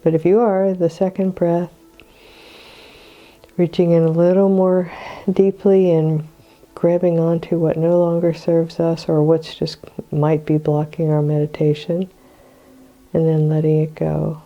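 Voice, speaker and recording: An older woman with a cold, hoarse and clearing her throat, guiding a meditation in a slow, soothing way. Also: sits low, little pitch movement, dull audio. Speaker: meditation guide. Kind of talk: guided meditation.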